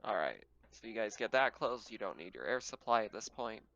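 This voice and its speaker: A man's voice: on the low side, big pitch swings, a little fast, fairly bright tone, muffled recording. A man acting as game master, casually talking players through a tabletop roleplaying game.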